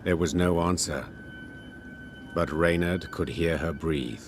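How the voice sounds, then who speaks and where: Slow, deep fantasy storyteller. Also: male voice, slight background noise. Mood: solemn. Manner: solemn, measured, old-fashioned. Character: solemn male storyteller of an epic fantasy tale. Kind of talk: scripted game narration. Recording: prominent music behind the voice.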